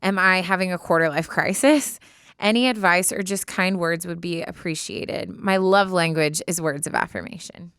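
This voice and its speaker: A woman's voice: lowish voice, moderate pace, fairly bright tone, clear, resonant tone, dry recording, studio quality. An American woman co-hosting a podcast, speaking in an informal, slangy, casual way. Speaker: podcast co-host. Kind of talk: casual banter and reading a listener letter aloud. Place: podcast studio.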